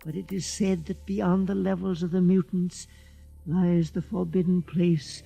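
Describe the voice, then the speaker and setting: A man's voice: high-pitched, flat delivery, a little slow, vocal fry, dark tone, full character voice. A man playing a frightened, zealous old religious elder in an old-time radio drama, speaking in a solemn, pompous, preaching manner. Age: older. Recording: old radio recording with music.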